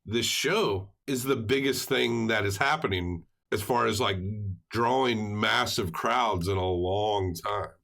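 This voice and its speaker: Male podcast co-host, lower register, conversational and explanatory in casual film talk. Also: measured pace, vocal fry, hardly any pauses, a bit bright.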